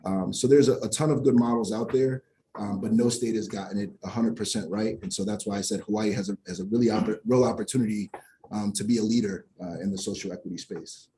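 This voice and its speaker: Male advocate, public testimony: earnest, halting delivery, lower-pitched and warm. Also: steady tone, husky. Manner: earnest, many filler words and restarts. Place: public hearing.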